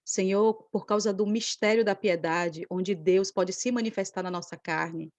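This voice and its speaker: A woman's voice: medium pitch, a little slow, darkish tone, no room sound. A woman with a Brazilian accent leading a devotional spoken prayer for a church group.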